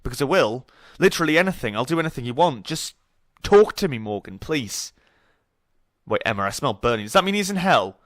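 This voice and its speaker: A man's voice: medium pitch, very animated, a little fast, a bit bright, dry recording, studio quality. A young man playing an awkward, grieving student in a naturalistic audio drama, hesitant and rambling with nervous jokes. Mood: nervous, guilty, then pleading. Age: young adult.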